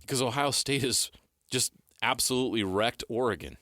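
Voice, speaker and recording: Male sports co-host, bright forceful voice, medium pitch, blunt opinions, dry studio sound. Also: normal speed, dry recording. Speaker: sports radio show co-host. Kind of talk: casual sports debate.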